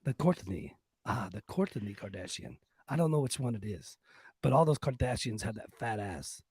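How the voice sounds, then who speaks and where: A man plays a sultry Latin lover. His voice is husky and breathy, sometimes dropping to a whisper, and it swings playfully up and down as he haltingly flirts through broken English in a close, dry podcast sound. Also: fairly low pitch, animated, darkish tone, dry recording. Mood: playful, crude. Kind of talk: comic podcast sign-off in a put-on voice.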